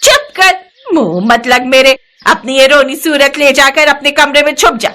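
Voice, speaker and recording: A woman's voice: sits high, moderate pace, pushed and bright. A woman voicing a bitter, taunting old mother-in-law in a dramatised moral story, nagging theatrically. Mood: harsh, bullying. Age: older. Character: bitter, scolding old mother-in-law berating her daughter-in-law.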